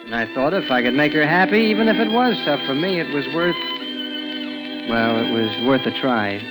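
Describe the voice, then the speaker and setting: A man's voice: a little high, animated, moderate pace, lightly acted, poor audio. A young man in a 1940s radio drama playing an awkward, eager-to-please teenage boy, stammering and hesitant. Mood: wistful narration. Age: young adult. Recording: 1940s radio broadcast with music.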